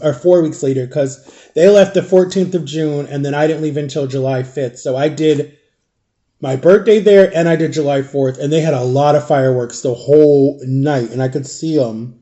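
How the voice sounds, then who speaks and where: A man's voice: fairly high pitch, moderate pace, mellow, highs cut off. A man in his thirties from Florida, telling a rambling storytime to his viewers and correcting himself as he goes. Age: 30s. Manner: rambling, self-correcting, chatty. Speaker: vlogger. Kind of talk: casual storytime chat to viewers.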